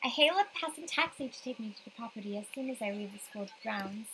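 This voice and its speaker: A woman's voice: on the high side, lively intonation, quick pace, a bit bright, slight background noise, low-quality recording. A young woman reading visual novel narration aloud. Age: young adult. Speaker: game streamer reading aloud.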